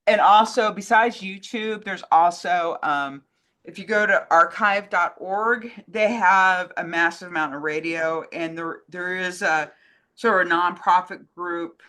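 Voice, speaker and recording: A woman's voice: lowish voice, a little slow, a bit bright. A woman in casual conversation, talking in an enthusiastic, rambling way as a co-host. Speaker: co-host answering fan questions. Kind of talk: casual chat.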